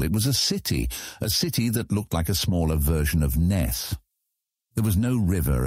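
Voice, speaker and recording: A man's voice: deep voice, darkish tone, slightly rough, no room sound, studio quality. A man reading scripted fantasy narration as an audiobook narrator.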